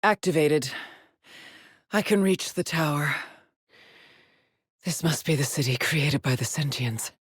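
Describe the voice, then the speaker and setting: An actress playing a weary, determined lone space explorer in a video game, with a measured, solemn, haunted delivery. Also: female voice, low voice, slightly rough, no room sound, studio quality, full character voice. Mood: somber, resolute. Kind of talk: dramatic game voice lines, short log entries.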